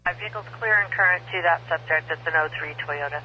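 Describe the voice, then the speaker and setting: A man's voice: a little high, fast, dull audio. A man working as an emergency dispatcher over two-way radio, reading back codes and numbers in clipped, procedural phrases. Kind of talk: police and fire radio dispatch. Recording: noisy police scanner radio, humming.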